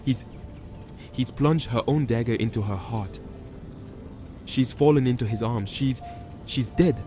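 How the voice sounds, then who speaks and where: A man playing a troubled hidden observer who narrates a fantasy drama in hushed, halting phrases. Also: medium pitch, close to an everyday voice. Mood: shocked. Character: watchful hidden observer, troubled chosen one, resolute. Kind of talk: first-person dramatic narration. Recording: music behind the voice.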